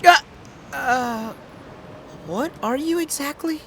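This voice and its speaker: A man's voice: very high voice, takes it slowly, exaggerated voice. A man playing a bewildered former police officer in an audio drama, speaking hesitantly and stammering. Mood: startled, hesitant. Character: fugitive ex-police officer, bewildered man stranded in primeval world. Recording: noisy track with loud background ambience.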